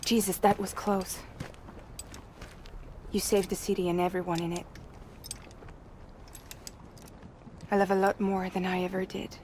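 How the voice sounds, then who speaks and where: A woman's voice: medium pitch, level tone, normal speed, slight background noise, lightly acted. An actress playing an urgent, decisive woman leading a courier company in a video game. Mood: relieved. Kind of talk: video game cutscene acting. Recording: loud music score behind.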